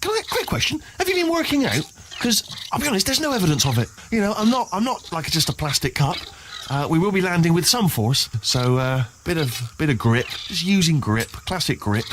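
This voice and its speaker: An actor playing a chatty, anxious, bumbling little robot in a video game, with an English accent and a stammering, rambling way of over-explaining. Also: male voice, high-pitched, big pitch swings, normal speed, a bit bright, slight background noise. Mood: nervous rambling. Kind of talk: video game character dialogue.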